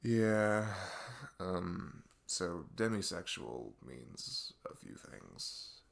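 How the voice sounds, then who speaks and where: A man playing a best friend who confides about a fight with his parents in a casual, halting, rambling ASMR roleplay style. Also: low-pitched, big pitch swings, vocal fry, heavily acted. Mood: earnest, explaining. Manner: halting, rambling, exasperated sighs.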